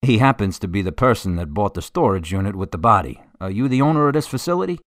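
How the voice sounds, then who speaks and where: Man playing polite no-nonsense cop in radio play, lowish voice that moves a lot, direct. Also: expressive, fast talker, dry recording, clean studio sound, natural voice. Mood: businesslike. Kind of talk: radio drama acting.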